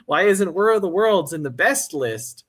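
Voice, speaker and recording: Halting, laid-back male stream host. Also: sits high, expressive, fairly bright tone. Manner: halting, many fillers and restarts, laid-back. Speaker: podcast host. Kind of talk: casual livestream chat.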